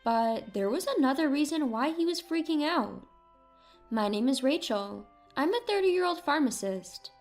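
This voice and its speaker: Casting: female narrator, high voice, scripted first-person storytelling over faint music. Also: lively intonation, normal speed, darkish tone.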